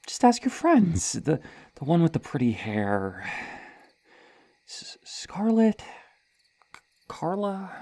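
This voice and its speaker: A woman's voice: very deep voice, warm tone, breathy edge, dry recording, full character voice. A woman playing a sadistic, playful serial killer in an ASMR horror roleplay, teasing and menacing, with a hesitant stammer and drops into whispers. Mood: gleeful, coy.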